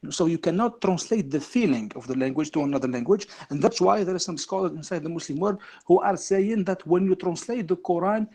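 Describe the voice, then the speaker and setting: A man's voice: sits high, animated, brisk, hardly any pauses, dark tone. A man whose first language is Arabic, a debate guest who keeps pleading to be allowed to continue, hesitating with many fillers. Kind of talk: heated online panel debate.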